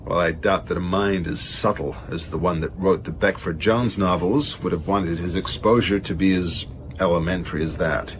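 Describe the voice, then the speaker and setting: Casting: actor as arch literary agent, male, fairly deep, verbose and evasive, fussy pompous delivery for audio drama. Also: steady tone, moderate pace, slight background noise, close to an everyday voice. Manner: pompous, wordy. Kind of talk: radio drama acting.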